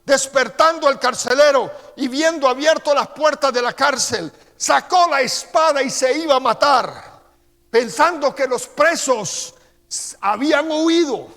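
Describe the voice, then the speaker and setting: High-voiced Costa Rican pastor preaching. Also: male voice. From Costa Rica. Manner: preacher's cadence, slow emphatic Scripture reading and quieter personal storytelling. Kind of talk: sermon. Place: church sanctuary. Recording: long church-hall reverb.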